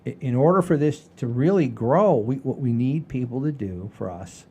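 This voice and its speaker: Mellow, folksy older man chatting. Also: mid-range voice, expressive, husky, highs cut off. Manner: folksy, mildly profane, halting and repetitive. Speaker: podcast host. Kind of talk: casual rambling podcast chat.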